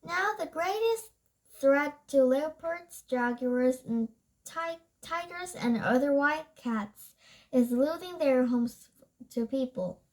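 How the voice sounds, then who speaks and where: A child reading a nonfiction book aloud, haltingly, stumbling over and rereading words. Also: high voice, lively intonation, poor audio.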